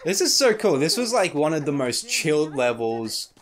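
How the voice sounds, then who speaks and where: A man commentating a game he plays, excitable and casual, his voice slightly croaky in the morning. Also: on the high side, normal speed. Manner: excitable, swears, shrieks at small things. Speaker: gaming YouTuber. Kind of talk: casual let's play commentary.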